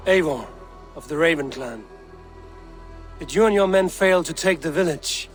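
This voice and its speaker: A man acting as a steady, loyal Viking warrior in a game cutscene, speaking in a terse, stately way. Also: on the high side, moderate pace, faint music behind, natural voice. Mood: urgent.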